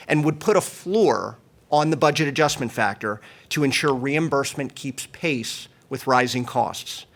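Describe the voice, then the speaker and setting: A man's voice: medium pitch, fairly bright tone. A man delivering a prepared press conference speech as host and association leader, in a formal, emphatic manner.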